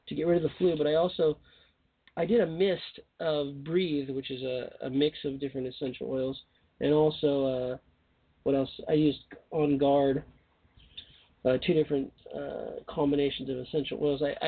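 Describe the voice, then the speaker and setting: A man's voice: a little high, dry recording. A man hosting a call-in radio show, talking casually and rambling with joking asides. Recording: tinny phone line.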